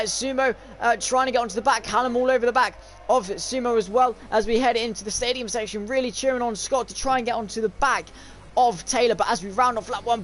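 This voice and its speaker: High-pitched young man commentating on sim racing. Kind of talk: live esports race commentary with casual chat.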